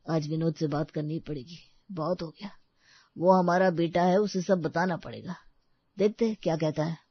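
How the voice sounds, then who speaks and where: A woman voicing a gentle, long-suffering old grandfather in a storybook tale, mild and reassuring. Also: sits low, normal speed, slightly rough, no echo, highs cut off. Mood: resigned, resolute. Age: older.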